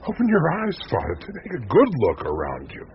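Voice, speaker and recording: A cynical reporter in an eighties radio drama. His voice is very low and widely inflected, pointed and insinuating. The old broadcast recording is noisy. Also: wildly swooping pitch, fairly quick, muffled recording. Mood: indignant. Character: cynical, needling male American newspaper reporter, indignant crusader. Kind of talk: 1980s radio drama acting.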